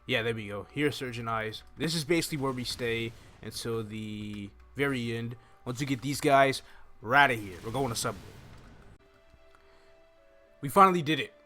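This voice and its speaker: A man's voice: mid-range voice, moderate pace, pushed and bright. A young man hosting a game walkthrough in a casual, rambling way, thinking aloud as he goes. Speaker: gaming video host. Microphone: microphone on a stand close in front of him. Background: game music.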